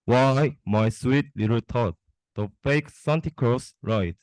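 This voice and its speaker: A sly, scheming Grinch from a storybook, acted out by a man, sweet-talking a small child. The delivery is slow and coaxing at a middling pitch, recorded dry. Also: a little mellow, no room sound, close to an everyday voice. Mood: falsely sweet, sly.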